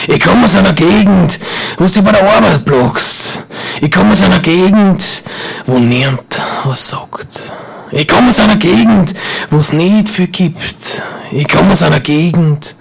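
A man with an Upper Austrian accent putting on a gruff, raspy rural voice to chant a poem in a radio studio. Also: on the high side, voice pushed up, full character voice. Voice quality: gravelly, raspy. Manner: chanting repetitive poem lines, swinging between loud and whispered.

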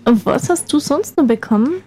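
A woman's voice: high voice, animated, normal speed, mellow. A young woman, hosting a campus radio show in casual, teasing chat. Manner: chatty, teasing, laughs often.

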